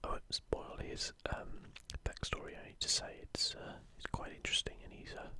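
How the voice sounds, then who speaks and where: Hushed, breathy ASMR whisperer. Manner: hushed, unhurried, rambling asides. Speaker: ASMR video host. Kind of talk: whispered ASMR commentary on an art book.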